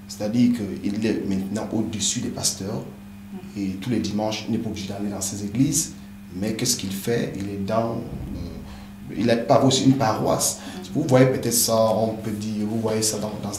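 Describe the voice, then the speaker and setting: A man's voice: fairly low pitch, steady tone, moderate pace. A man who is a pastor and a native English speaker, earnestly explaining things in an interview with many hesitant fillers. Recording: echoey room with background noise.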